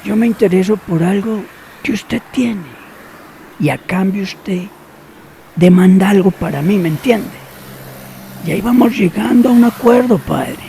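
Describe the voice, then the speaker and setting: A gravelly, sly fixer. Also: male voice, sits high, dark tone, a little hiss or hum. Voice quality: gravelly, knowing. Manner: teasing, wheedling, bargains slowly. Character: sly, scheming middle-aged male fixer. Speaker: voice actor in a radio drama.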